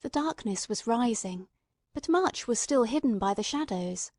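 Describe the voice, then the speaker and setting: Woman narrating, slightly high, dry sound. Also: animated, moderate pace, darkish tone, dry recording. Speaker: audiobook narrator. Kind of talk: scripted literary narration.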